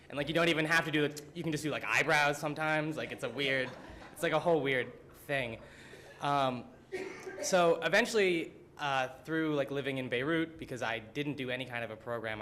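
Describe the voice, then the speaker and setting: A man's voice: medium pitch, a bit bright, slight background noise. A young man, a student presenter, telling humorous stories at a symposium in a casual way, full of "like" fillers. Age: young adult. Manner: casual, many "like" fillers, laughing. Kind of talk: humorous storytelling talk. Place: college symposium room.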